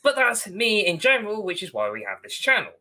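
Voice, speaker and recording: A young British man with a bright, forward voice talks as a podcast co-host. He is informal and opinionated, rambling on in long, chatty stretches. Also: high-pitched, huge pitch swings, a little fast. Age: young adult. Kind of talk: casual ranting discussion. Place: indoor room at home, on video call.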